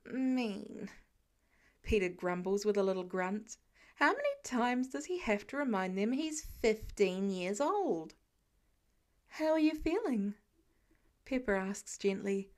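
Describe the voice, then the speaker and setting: A woman narrating a scripted piece of fiction as an audiobook reader. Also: high-pitched. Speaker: podfic narrator.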